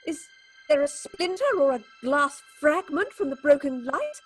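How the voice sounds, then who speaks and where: Horror drama role: unhinged actress seeing blood. Very high voice, slow, feverish, halting delivery over music. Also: female voice, expressive. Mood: frantic alarm. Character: unhinged theatre actress haunted by visions of blood. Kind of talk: horror audio drama acting.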